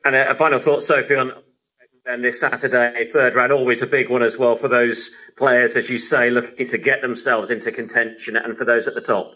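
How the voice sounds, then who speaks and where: A man's voice: mid-range voice, little pitch movement, fairly quick, bright, forceful tone, low-quality recording. A man presenting live sports news as a radio host, heard over a shortwave radio broadcast.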